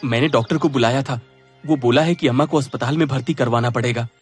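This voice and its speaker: A man's voice: medium pitch, fast talker, slightly rough, faint music behind, lightly acted. A man as an earnest, caring young stepson. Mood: urgent. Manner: earnest, concerned. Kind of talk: Hindi moral-story cartoon dubbing.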